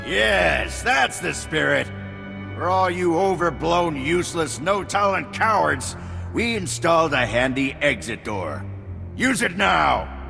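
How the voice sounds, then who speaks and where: An actor playing a brash, scornful announcer of a bounty hunt in a video game, theatrical and mocking. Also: male voice, on the high side, lively intonation, takes it slowly, vocal fry, pushed and bright, exaggerated voice. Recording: echoing hall with background music.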